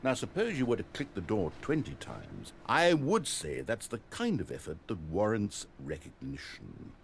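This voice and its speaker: An actor playing a pompous, fussy narrator in a video game, goading the player in theatrical, wordy fashion. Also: male voice, fairly low pitch, huge pitch swings, moderate pace, creaky, a little hiss or hum, full character voice. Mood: fussy, unimpressed.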